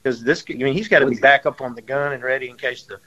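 A man tells stories as a radio guest, animated and circling back over his points. His voice is bright and forceful, heard through slightly dull, lo-fi audio. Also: mid-range voice, fast, breathy edge. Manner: animated storyteller, repeats himself. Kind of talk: storytelling in casual chat.

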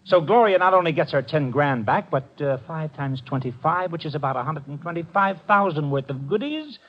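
An actor playing a brash, wisecracking detective's assistant with breezy, slangy delivery in a 1950s radio drama. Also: male voice, a little high, animated, fairly quick. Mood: gleeful, teasing. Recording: dull old 1950s radio recording.

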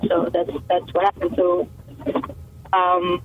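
A woman's voice: lowish voice, monotone, slow, a little hiss or hum. A young woman from Canada, a musician, chatting casually in an interview, rambling with many fillers and restarts. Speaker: musician guest. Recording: tinny phone line.

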